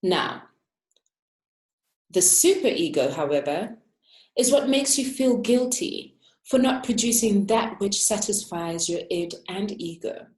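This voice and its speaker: Woman giving a measured talk, echoey room. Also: mid-range voice, expressive, darkish tone. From Africa. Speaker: interior architect giving a talk. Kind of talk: prepared talk, measured and reflective.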